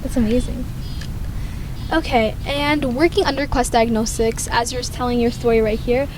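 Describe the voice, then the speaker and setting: Higher-voiced young woman hosting a podcast. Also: steady tone, moderate pace, background noise. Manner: supportive, frequent "mm-hmm" backchannels. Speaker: podcast host. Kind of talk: interview hosting.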